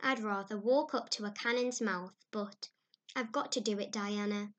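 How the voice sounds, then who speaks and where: A woman's voice: medium pitch, steady tone, normal speed, a bit bright, natural voice. A woman reading aloud, voicing an imaginative, dramatic orphan girl who gushes breathlessly. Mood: earnest. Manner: breathless, gushing, heightened.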